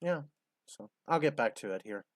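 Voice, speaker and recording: A man's voice: medium pitch, animated, normal speed, dry recording, studio quality. A man in his fifties hosting a casual commentary, blunt, sarcastic and profane. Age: 50s. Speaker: host.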